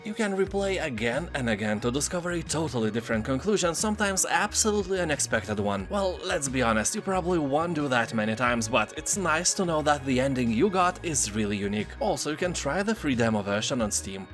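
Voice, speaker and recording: A man's voice: medium pitch, fairly bright tone. A man narrating a scripted rundown of discounted games, slipping puns and wry asides into his reviews. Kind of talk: scripted narration of game deals. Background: faint background music.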